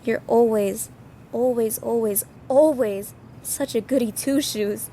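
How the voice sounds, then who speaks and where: A woman's voice: a little high, slow, mellow, slight background noise. A woman playing a jealous, unhinged teenage class president in an anime fan dub, with a taunting, theatrical delivery. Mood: scornful, impatient.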